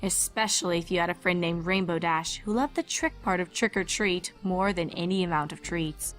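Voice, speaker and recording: A woman's voice: lowish voice, fairly even intonation, normal speed, fairly bright tone, faint music behind. A woman reading scripted storybook narration as the narrator of a tale.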